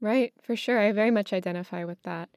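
A woman's voice: mid-range voice, fast, a little mellow, no echo, clean studio sound. An American woman hosting a casual interview, speaking in a reflective way with tentative phrasing. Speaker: interviewer, host.

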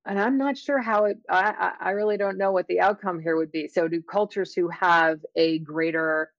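Creaky-voiced woman explaining science carefully. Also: fairly low pitch, steady tone, dry recording. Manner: careful, qualifying, measured explanations. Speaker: academic guest, science author. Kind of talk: explanatory interview conversation. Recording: dull, slightly lo-fi dry audio.

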